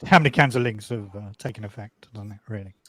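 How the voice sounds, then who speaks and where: A man from North Wales with a British accent, chatting casually in a measured, dryly humorous way. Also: lowish voice, a bit bright. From North Wales coast. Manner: dry, measured, wry. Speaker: livestream panel guest. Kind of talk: casual chat.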